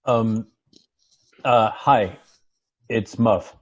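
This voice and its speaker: A man's voice: lowish voice, very slow, muffled recording. A man reading the part of a melancholy, hesitant married man in an understated TV drama table read. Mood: hesitant, resigned.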